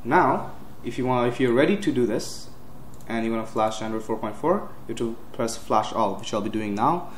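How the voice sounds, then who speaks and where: A young man giving a casual step-by-step computer tutorial, with frequent uhs and quick self-corrections. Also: mid-range voice, expressive. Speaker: tech tutorial host. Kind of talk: screen-recorded software walkthrough. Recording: roomy with faint hiss.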